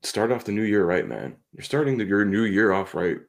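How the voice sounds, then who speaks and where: Deep gravelly man, laid-back podcast banter. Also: big pitch swings, brisk. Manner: laid-back, laughing, slangy. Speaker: podcast co-host.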